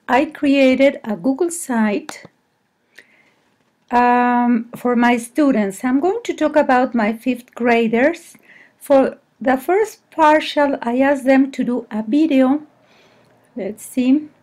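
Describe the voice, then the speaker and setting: A woman teacher with a Mexican accent, presenting to colleagues in a hesitant, halting way and often correcting herself. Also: high voice, darkish tone. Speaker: English teacher. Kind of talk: screen-recorded presentation to colleagues.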